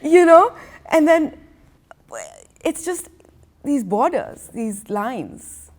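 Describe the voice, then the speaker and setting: A woman's voice: sits high, lively intonation, very slow, a little mellow. A woman artist who grew up in Iran and Pakistan and speaks Farsi as her first language, telling her story in a rambling way full of restarts. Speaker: artist, interview guest. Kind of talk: casual conversational storytelling. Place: art gallery.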